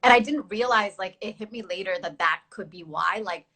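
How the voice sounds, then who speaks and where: A woman's voice: medium pitch, moderate pace, sharp tone. A young adult woman hosting a casual online live chat as a dance teacher, chatty and full of fillers, laughing at herself now and then.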